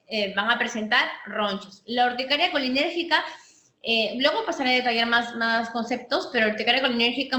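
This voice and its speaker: Bright-voiced woman lecturing in an echoey room. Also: on the high side. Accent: Peruvian Spanish. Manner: explanatory, many restarts and fillers. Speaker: physician lecturer. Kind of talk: medical teaching talk. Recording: echoey room with faint noise.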